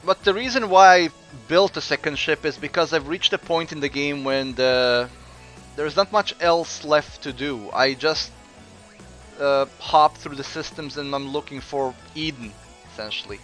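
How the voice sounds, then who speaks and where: A man from Croatia with a mixed Croatian accent, chatting casually while streaming a game and answering viewers, with frequent pauses. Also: on the high side, a bit bright, soft background music. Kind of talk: casual gameplay commentary.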